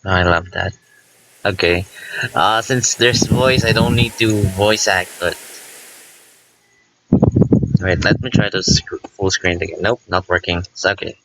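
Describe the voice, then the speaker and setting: A man giving casual, rambling let's play commentary, excitable and informal, breaking off his own sentences and reading visual novel text aloud. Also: low-pitched, measured pace, long pauses, a little hiss or hum, poor audio. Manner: informal, self-interrupting, excitable. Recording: music playing behind the voice. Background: visual novel game music.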